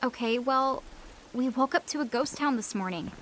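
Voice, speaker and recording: High-voiced, bossy young American sister. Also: female voice, moderate pace, fairly bright tone, a little hiss or hum, poor audio. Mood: suspicious, demanding. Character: young twin sister, sensible, bossy, quick to alarm. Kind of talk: animated game cutscene acting.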